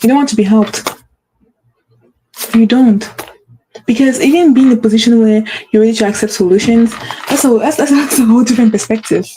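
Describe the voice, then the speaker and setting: Lady with a stutter giving a pep talk, warm low-key tone, says things over and over. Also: a little fast, long pauses, a little hiss or hum, low-quality recording. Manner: emphatic, repeats phrases for effect. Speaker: host. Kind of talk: motivational talk to camera.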